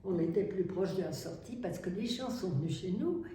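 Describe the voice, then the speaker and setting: Older French nun, halting, echoey distant. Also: female voice, fairly low pitch, expressive, warm tone. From France. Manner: halting, wry, many restarts. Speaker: Carmelite nun. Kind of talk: interview testimony. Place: nursery building in Syria. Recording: echoey room, distant mic, faint noise.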